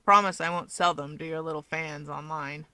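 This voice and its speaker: Woman playing smug young man, lower pitch, sardonic, deadpan drawl, dismissive, contrarian, audiobook story character, dry recording. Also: normal speed, no echo. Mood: teasing. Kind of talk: fan fiction audiobook character reading.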